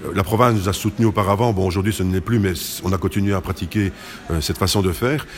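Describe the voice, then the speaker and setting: A man's voice: sits low, steady tone, fast. A man answering interview questions as a committee president, explaining in detail and often correcting himself.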